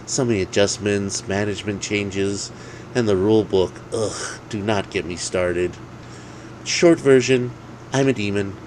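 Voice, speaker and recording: A man's voice: fairly low pitch, measured pace, a little mellow, slight background noise, natural voice, low-quality recording. Man voicing a sardonic ancient female demon seductress. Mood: breezy, matter-of-fact. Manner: sardonic, grumbling, matter-of-fact.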